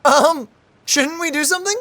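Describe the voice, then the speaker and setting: A woman's voice: high voice, normal speed, a bit bright. A woman streamer chatting casually as she reacts to a game, exclamatory and incredulous.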